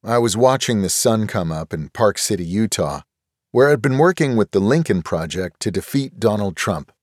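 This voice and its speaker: A man narrating a first-person nonfiction audiobook in a measured, earnest, deliberate way. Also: lowish voice, animated, no room sound, studio quality.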